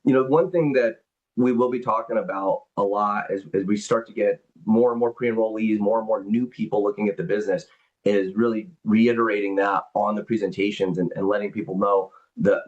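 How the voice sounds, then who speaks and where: A man with a fairly low voice hosts a members' call, talking through the business in a loose, rambling way. He leans on fillers and doubles back on his words. The line sounds dull and lo-fi, like call audio. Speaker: company leader hosting a members' call. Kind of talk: business presentation and Q&A on a group call. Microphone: conference call.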